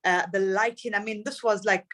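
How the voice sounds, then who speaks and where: A woman's voice: mid-range voice, fairly even intonation, normal speed. Guest: middle-aged woman from Zimbabwe, singer, chatty and reflective storyteller. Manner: warm, reflective storytelling with laughs. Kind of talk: casual interview chat.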